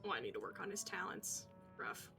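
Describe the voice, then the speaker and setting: A woman's voice: on the low side, big pitch swings, fairly quick, vocal fry. Casual, musing woman game streamer over music. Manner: rambling, informal, musing. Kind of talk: casual livestream commentary.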